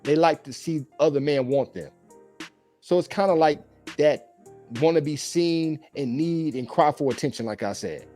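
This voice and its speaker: A man with a slightly hoarse voice from a cold, giving loose, rambling, opinionated commentary on dating for his channel. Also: fairly high pitch, moderate pace, mellow, soft background music.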